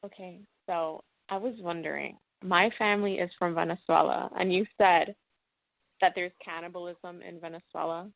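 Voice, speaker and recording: A woman's voice: on the low side, steady tone, normal speed, creaky, dry recording. Earnest woman caller on a dry phone line. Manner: earnest, insistent.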